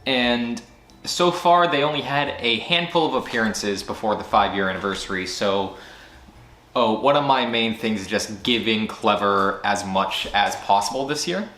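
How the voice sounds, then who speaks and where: A man's voice: on the low side, normal speed, bright, forceful tone, slight background noise. A man hosting his channel's casual year-end recap, rambling and breaking off into jokey asides. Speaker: channel host. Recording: roomy echo with faint music.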